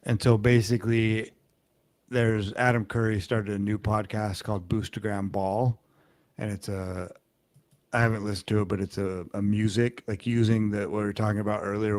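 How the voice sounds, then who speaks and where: A man co-hosting a podcast, explaining at length in a rambling, halting way with many restarts. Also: on the low side, a little mellow, dry recording. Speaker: podcast co-host. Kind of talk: casual chat.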